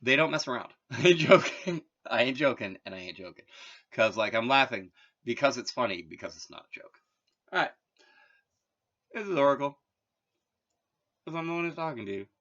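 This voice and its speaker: A man's voice: mid-range voice, animated, moderate pace, pauses often, fairly bright tone, dull audio. A man from Massachusetts talking in a rambling, casual monologue, full of filler words and wry asides. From Massachusetts, USA. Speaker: vlogger.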